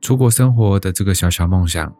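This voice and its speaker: A man's voice: low voice, fairly quick, warm tone, soft background music, lightly acted. An actor playing a wistful man in his early thirties with a Taiwanese accent, narrating reflectively in a radio drama. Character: wistful thirty-two-year-old man dreaming of life abroad.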